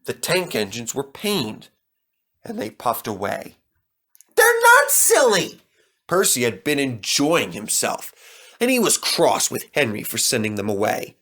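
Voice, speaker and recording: A man reads a scripted children's tale as storyteller. His pace is unhurried. His pitch rises and falls expressively with the narration. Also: sing-song, a bit bright.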